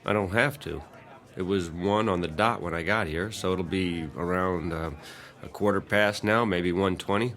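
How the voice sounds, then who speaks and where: A man's voice: low voice, moderate pace, fairly bright tone. An actor playing a skeptical, stubborn, wisecracking man in a radio drama, with a casual, blunt way of talking. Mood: dismissive.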